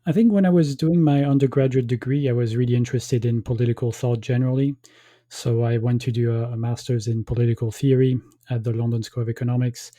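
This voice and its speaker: A man raised in Belgium whose first language is French, speaking as a guest academic. His tone is deep-toned and mellow, and the sound is close and dry. He answers in measured phrases with frequent hesitations. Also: fairly even intonation, no room sound. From grew up in Belgium, born in France. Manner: measured, thoughtful, with frequent "um" pauses. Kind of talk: conversational interview answers.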